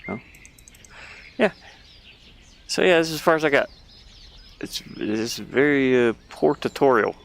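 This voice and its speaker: A man's voice: medium pitch, lively intonation, measured pace, creaky, long pauses. A man giving casual gameplay commentary, puzzled and muttering to himself as he thinks aloud. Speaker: gamer playing and commenting on a game.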